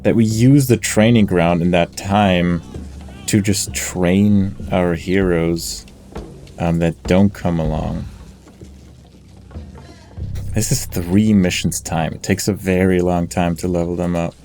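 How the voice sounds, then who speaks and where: Man, lowish voice, mellow, casual game commentary, pausing as he thinks, soft background music. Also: fairly even intonation. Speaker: gamer, let's play host.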